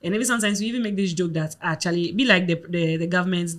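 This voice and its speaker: A woman's voice: on the low side, very fast. A woman from Ghana, co-hosting a podcast and explaining her views earnestly. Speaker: podcast co-host. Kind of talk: casual podcast chat.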